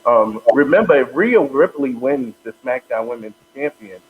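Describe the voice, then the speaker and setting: A man co-hosting a casual wrestling podcast, giving measured analysis. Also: medium pitch, animated. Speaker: podcast co-host. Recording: tinny phone-like line with faint noise.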